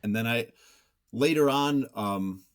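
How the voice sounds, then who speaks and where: A man's voice: medium pitch, slow pace, clean tone. A man being interviewed as a writer, speaking in a thoughtful, hesitant, self-effacing way in reflective conversation. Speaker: interview guest, nonfiction writer.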